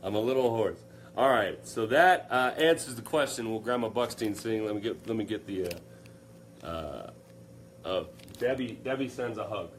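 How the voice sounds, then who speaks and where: A man's voice: on the low side, lively intonation, moderate pace, slight background noise. A young man hosting a casual live Q&A, upbeat and joking as he reads out viewer comments. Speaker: live stream host.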